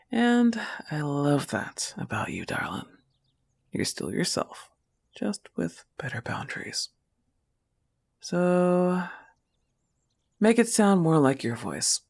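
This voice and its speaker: A woman's voice: deep voice, vocal fry, a little mellow, exaggerated voice. A woman voicing a protective, affectionate young goth wolf-girl girlfriend in an intimate audio roleplay, coaxing and reassuring the listener with a firm manner. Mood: encouraging.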